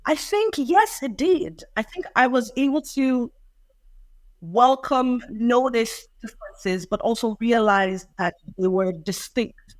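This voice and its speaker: A woman's voice: a little high, animated, slow pace, no echo, poor audio. A young adult woman, answering interview questions in a reflective, thoughtful way. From Ireland. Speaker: poet and interview guest.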